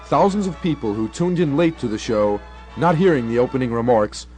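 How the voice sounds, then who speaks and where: A young man narrates a radio documentary from a script. His voice sits at a medium pitch, with faint music behind it. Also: expressive, a little fast, darkish tone. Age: young adult.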